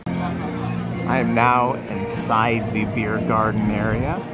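A man narrating a casual walking tour of an animal park, making relaxed, chatty asides. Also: lowish voice, takes it slowly, over loud music. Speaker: vlogger. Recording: loud crowd and outdoor noise.

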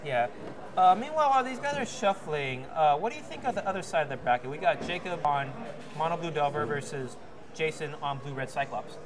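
A man commentating a card tournament in a relaxed, casual way, asking his co-host for opinions. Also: fairly high pitch, fairly quick. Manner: easygoing, asks co-host questions. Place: card shop event. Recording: crowd noise behind.

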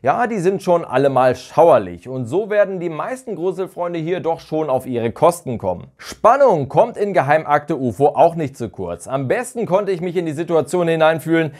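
A man's voice: on the high side, lively intonation, moderate pace, few pauses, a little mellow. A man in his thirties narrating a semi-scripted review as an online reviewer, recorded indoors on a lapel mic. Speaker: YouTube reviewer of audio dramas. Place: small furnished living room or den indoors.

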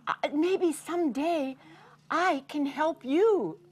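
A woman's voice: sits high, takes it slowly, voice pushed up. A woman voicing a timid little mouse in a fable, meek and pleading.

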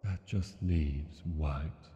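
An actor playing a brooding, dread-haunted dragon king in a fantasy audio drama, speaking gravely and haltingly. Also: extremely low pitch, warm tone, slight background noise, heavily acted. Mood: grave, fearful. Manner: slow, halting, grave delivery. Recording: muffled, long cavernous reverb.

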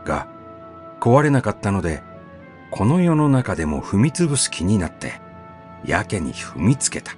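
A man's voice: fairly low pitch, very animated, moderate pace, long pauses, a little mellow. A man narrating a short story as a scripted audiobook reading, telling it expressively. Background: faint background music.

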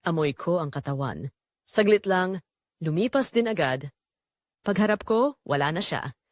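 A woman's voice: on the low side, lively intonation, a little slow, no echo, clean studio sound. A woman playing a guilt-torn wife and mother confessing a secret in an intimate, dramatic audio drama narration, with a Filipino accent. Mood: haunted, restrained.

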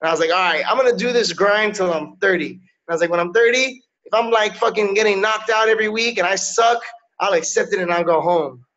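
A man in his thirties from Miami talks loosely over a webcam call, dropping "yo" and "you know what I'm saying" between thoughts. His voice sits high for a man. The audio is a little dull and lo-fi. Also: a little fast, fairly bright tone. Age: 30s. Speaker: MMA fighter, guest. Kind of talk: casual interview over video call. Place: indoors, small room. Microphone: video call webcam.

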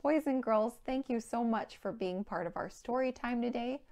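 An American woman in her thirties presenting a children's storytime, speaking clearly and animatedly in simple phrases to young children. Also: a little high, normal speed, mellow. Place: indoor children's play room.

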